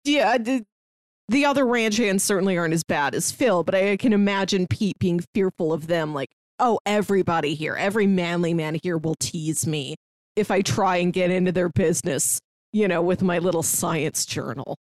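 A woman's voice: lowish voice, moderate pace, no room sound. Woman in dry, close podcast sound. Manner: informal, conversational. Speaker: film review podcast co-host. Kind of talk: casual movie discussion.

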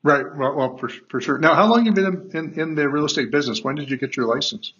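Male podcast host, middle pitch, halting with lots of "uh", dull lo-fi audio. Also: fairly bright tone. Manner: hesitant, many fillers and restarts. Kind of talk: podcast interview.

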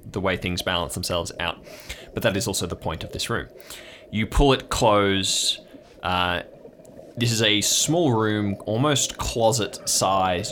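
Male game master, lowish pitch, improvised descriptive narration with thoughtful pauses; slight noise on the recording. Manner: descriptive, pausing to build detail.

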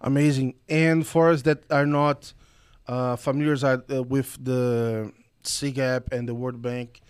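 A man from Brazil hosts a podcast and asks his guest questions with open enthusiasm. His voice is mid-pitched and smooth, and he leans on fillers as he goes. The recording is dry and close. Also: level tone, takes it slowly, no echo. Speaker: podcast host. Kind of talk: podcast interview.

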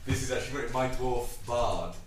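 A man playing a tabletop game, lively and joking. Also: mid-range voice, a little fast. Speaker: player. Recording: roomy room, distant mic, background noise.

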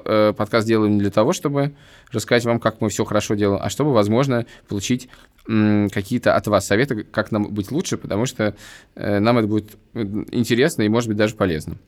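Fast-talking man, podcast co-host. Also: on the low side. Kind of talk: casual opinionated podcast chat.

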